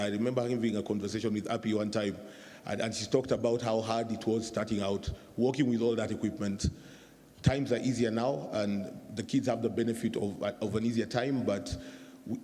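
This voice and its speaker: A man's voice: lowish voice, warm tone. A man talking on a conference panel, often repeating words as he gathers his thoughts. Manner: repeats words while thinking, casual asides. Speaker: industry executive on a panel. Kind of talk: panel talk. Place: conference hall. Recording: long reverb of a hall.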